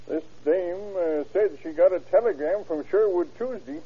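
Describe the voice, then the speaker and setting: Husky, blunt gas station owner. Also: male voice, high voice, moderate pace. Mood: offhand. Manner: blunt, slangy, folksy. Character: disgruntled, slangy male filling-station owner. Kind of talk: old-time radio drama acting. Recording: muffled, phone-like old radio recording.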